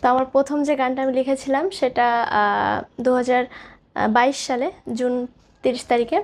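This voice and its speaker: High-pitched young woman. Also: fairly even intonation, normal speed. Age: 20s. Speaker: singer-songwriter being interviewed. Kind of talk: interview answers.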